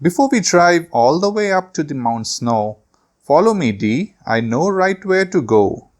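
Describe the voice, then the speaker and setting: A man voicing a cheerful, polite novice skier in an expressive children's storybook reading. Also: a little high, measured pace, pitched above the performer's own voice. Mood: eager. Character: cheerful, eager man learning to ski with his dog.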